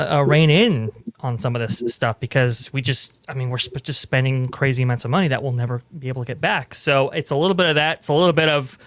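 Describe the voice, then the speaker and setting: Male panelist, conversational and halting, mid-pitched, very dry recording. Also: fairly bright tone, no echo. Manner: halting, repeats words as he thinks. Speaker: panelist giving commentary. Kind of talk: casual discussion of entertainment business.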